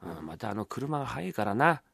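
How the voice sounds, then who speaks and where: A man's voice: medium pitch, very animated, a little fast, gravelly, a bit bright, breathy edge, no echo, clean studio sound, pitched below the performer's own voice, full character voice. A man performing rakugo as a timid, fawning book-lender, deferential and fussy, often muttering. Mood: muttering, musing. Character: timid, fawning book-lender, a gifted mimic, easily bribed. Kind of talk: rakugo storytelling.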